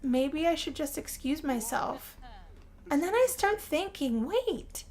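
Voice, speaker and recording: A woman telling a first-person role-play story as game narration, theatrical, with drawn-out phrases and pauses. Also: high voice, big pitch swings, darkish tone, soft background music. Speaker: gameplay narrator.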